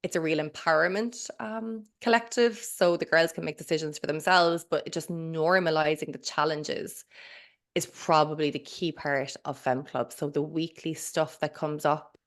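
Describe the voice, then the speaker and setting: Chatty low-voiced Irish woman. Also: steady tone, moderate pace. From Ireland. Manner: chatty, rambling, swears and self-interrupts. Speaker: mindset coach, podcast guest. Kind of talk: casual interview chat.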